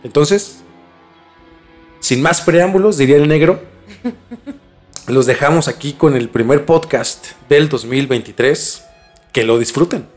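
A man's voice: on the high side, slow pace, long pauses, soft background music. A man hosting a mystery podcast in a conversational presenting style. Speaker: podcast host.

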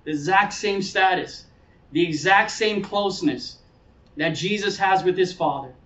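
High-voiced man preaching in a roomy space. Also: measured pace, a bit bright. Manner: earnest, explanatory, repeats phrases for emphasis. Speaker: pastor preaching. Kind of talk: sermon, conversational teaching. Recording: roomy, distant mic, dull sound.

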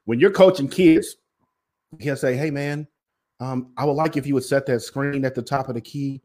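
Deep-voiced blunt-talking man. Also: normal speed, darkish tone. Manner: blunt, emphatic, confrontational. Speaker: music industry guest, former basketball coach. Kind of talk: interview conversation.

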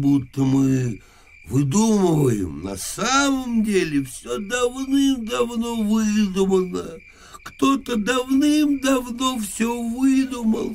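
A bitter, drunken old tinkerer in a radio play. His hoarse voice drags very slowly, then swings up and down in slurred, self-accusing rambling. Faint music sits behind him. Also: high voice, full character voice. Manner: slurred, halting drunken rambling with muttered questions and outbursts. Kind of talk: Russian radio drama acting.

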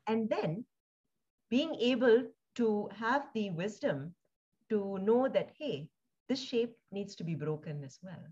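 A woman born in India and raised in Singapore, speaking thoughtfully as an interview guest, with frequent pauses, fillers and restarts. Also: mid-range voice, expressive, a little mellow, slightly rough, dull audio. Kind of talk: reflective conversational interview.

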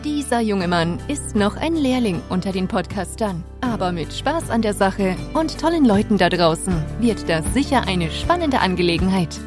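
A woman reading a scripted podcast intro in a lively, upbeat announcing manner. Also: medium pitch. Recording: voice over loud music. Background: music.

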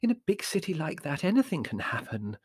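A middle-aged storybook mother, played by a man, pitched fairly high. She sounds gentle and anxious, fretting and placating, yet she can be firm. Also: very animated, moderate pace, husky, no echo, studio quality, full character voice. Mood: fretful. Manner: fretful, placating.

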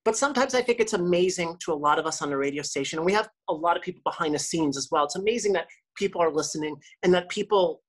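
A woman's voice: fairly low pitch, fast. A woman radio host, a guest on a podcast, talking in a chatty, rambling way with many restarts. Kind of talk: casual interview talk.

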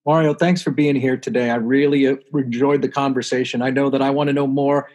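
A man from the Washington DC area hosting his own show, chatting casually with a guest and often pausing on "uh". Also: medium pitch, flat delivery, darkish tone. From Washington DC area, USA. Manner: friendly, chatty, many "uh" fillers. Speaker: podcast host. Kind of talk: casual interview chat.

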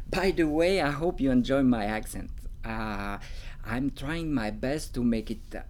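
Slow, hesitant Swiss French speaker. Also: medium pitch, animated. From Switzerland. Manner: hesitant, searching for English words with many fillers and restarts. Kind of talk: casual talk sharing creative tips.

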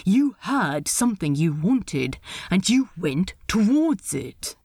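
A woman's voice: big pitch swings, slow pace, a little mellow, breathy edge, no echo, studio quality, heavily acted. A woman voicing a blunt, laid-back pirate man with a sincere side in a story reading. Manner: casual, blunt, low-key.

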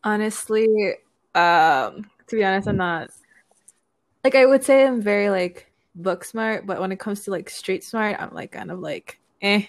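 A woman's voice: medium pitch, measured pace. A young woman in her twenties, a university student from Canada, a guest in casual chat, talking playfully with lots of "like" and giggles.

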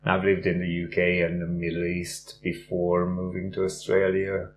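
A middle-aged man who grew up in Hungary, speaking in a fairly low voice as a guest on a casual interview. He tells his story warmly and fills the pauses with "uh". A faint hum runs behind him. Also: monotone. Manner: warm storytelling with frequent "uh" fillers. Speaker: guest, runner and teacher.

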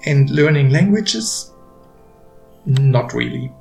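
Man, storytelling narration, slow pace, wry and gentle, mid-range pitch, roomy echo, light music behind. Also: expressive, creaky. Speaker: author reading his own story.

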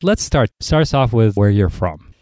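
A man hosting a podcast, reading a scripted intro and then interviewing a guest, with a measured manner and some hesitant restarts. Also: on the low side, very animated, darkish tone, no echo, clean studio sound. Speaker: podcast host.

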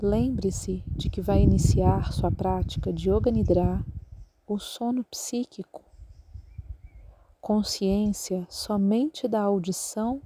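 Slow, mellow Brazilian woman guiding relaxation. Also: mid-range voice, a little hiss or hum. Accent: Brazilian Portuguese. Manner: calm, measured, instructing. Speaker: yoga nidra guide. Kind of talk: guided relaxation meditation.

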